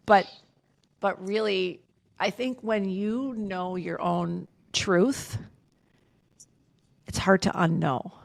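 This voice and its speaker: Outspoken gravelly woman in her fifties. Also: mid-range voice, steady tone, takes it slowly, long pauses, no room sound. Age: 50s. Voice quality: loud voice. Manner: outspoken, emphatic, swears freely. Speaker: podcast guest. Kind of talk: candid casual conversation.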